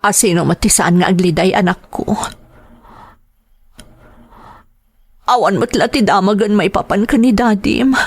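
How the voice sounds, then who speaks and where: A woman's voice: medium pitch, brisk, breathy edge. A middle-aged Filipino woman acting in a radio drama as an anguished wife and mother whose husband is missing, tearful, sighing and pleading. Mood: despairing, tearful.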